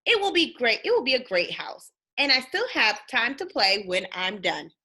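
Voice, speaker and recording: Lady doing a breezy little boy pig from a storybook, cocky, casual, bright and high. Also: sing-song, normal speed. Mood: dismissive. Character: Porter, relaxed, breezy, overconfident young male piglet.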